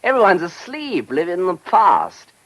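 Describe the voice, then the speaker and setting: A self-satisfied English country-house owner in a radio drama. He is breezy and boastful, with a lively, swooping delivery and a creaky, rough-edged voice. His talk is full of chummy, moneyed slang. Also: high-pitched, moderate pace, heavily acted. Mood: blunt, breezy. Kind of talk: British radio drama acting.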